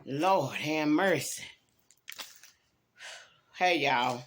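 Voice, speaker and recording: A woman's voice: sits low, drawn-out words, a little hiss or hum. A tired woman gives a casual, emphatic pep talk to viewers, folksy and fond of repeating her phrases. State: tired after work. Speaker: YouTube vlogger.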